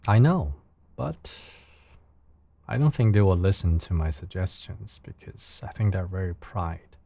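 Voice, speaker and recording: A man's voice: deep voice, animated, unhurried, gravelly, mellow, no room sound. A man from China with a Chinese accent and a soothing voice, chatting casually with viewers on his livestream in careful, searching second-language phrasing. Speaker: live streamer.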